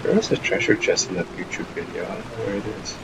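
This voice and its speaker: A woman's voice: very deep voice, fairly even intonation, fast talker, recorded from a distance, heavy background noise. A woman making brief, offhand remarks in casual live-stream chat as a game streamer.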